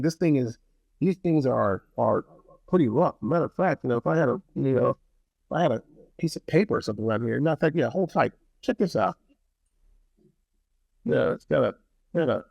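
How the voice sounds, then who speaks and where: Higher-voiced man giving a casual gadget review. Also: gravelly, darkish tone, dry recording, muffled recording. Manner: conversational, rambling, repeats phrases for emphasis; opinionated, blunt. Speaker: product reviewer. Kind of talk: casual product review, talking to viewers.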